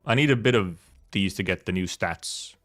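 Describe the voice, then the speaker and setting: A man's voice: low voice, moderate pace, a bit bright, dry recording, clean studio sound. A man streaming a video game with casual commentary, thinking aloud hesitantly and repeating words as he goes. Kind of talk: casual rambling gameplay commentary. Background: faint game music.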